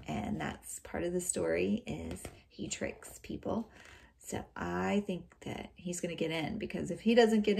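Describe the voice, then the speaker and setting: A woman's voice: lowish voice, vocal fry, darkish tone, reverberant. A woman teacher explaining things to young students in a read-aloud lesson, with simple, explanatory phrasing.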